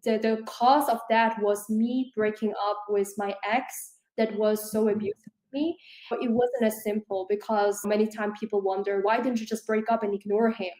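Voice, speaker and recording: A woman's voice: medium pitch, steady tone, moderate pace, darkish tone, roomy sound, rough recording. A woman in her thirties, raised in Switzerland, telling her life story earnestly as an interview guest. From South Korea, raised in Switzerland. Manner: earnest, fluent with many "like" fillers. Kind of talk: conversational personal story.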